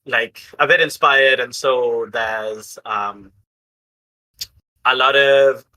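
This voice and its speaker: Male tabletop player, very bright sharp voice, slow halting delivery, casual storytelling. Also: mid-range voice, steady tone, poor audio. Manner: halting, piecing ideas together aloud.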